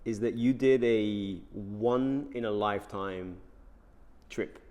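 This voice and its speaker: A man hosting a company channel interview, energetic and enthusiastic as he puts questions to a colleague. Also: on the low side, takes it slowly. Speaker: host and interviewer.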